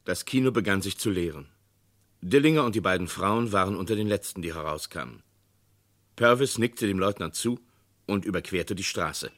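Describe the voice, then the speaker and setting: A man with a lowish voice narrating a vintage radio crime drama. His delivery is measured and documentary, steady and serious.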